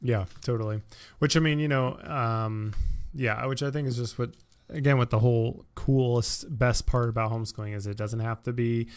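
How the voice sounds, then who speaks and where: A man's voice: mid-range voice, steady tone, normal speed, smooth. An American man in his thirties hosting a podcast in an informal, easygoing, conversational way. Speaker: podcast host. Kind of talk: casual conversational chat.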